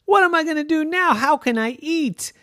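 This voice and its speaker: A parent storyteller voicing a silly, greedy dad who has false teeth, in an excitable, hammy manner. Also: mid-range voice, normal speed, dry recording, studio quality, lightly acted. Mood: frantic.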